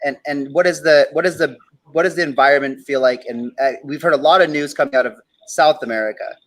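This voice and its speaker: A man's voice: a little high, quick pace, fairly bright tone. A man hosting a show and interviewing a guest over a video call, who rambles and restarts his questions a lot. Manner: rambling, lots of fillers and restarts. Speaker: host. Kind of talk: casual interview talk.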